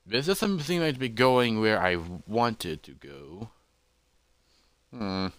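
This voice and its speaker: A man's voice: mid-range voice, fairly bright tone, clean tone, dry recording. A man voicing a massive, curious explorer creature in a fantasy roleplay, hesitant and thoughtful as he talks to himself. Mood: musing, curious. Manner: musing, hesitant, talking to himself.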